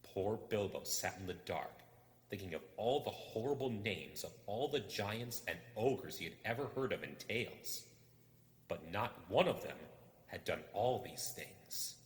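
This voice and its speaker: A man reading a story aloud as dramatic narration, expressive and suspenseful. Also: on the low side, a little slow. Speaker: amateur audiobook reader. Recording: echoey hall-like reverb.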